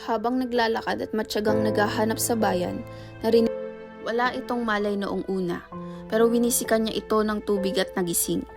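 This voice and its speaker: A woman's voice: medium pitch, steady tone, brisk, creaky. A woman reading scripted narration for a radio play as its storyteller. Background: music under the voice.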